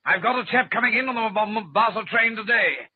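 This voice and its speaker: An actor playing a brisk, blustering British colonial High Commissioner in a 1940s radio drama. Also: male voice, slightly rough. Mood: reassuring, impatient. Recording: dull, slightly noisy old radio recording.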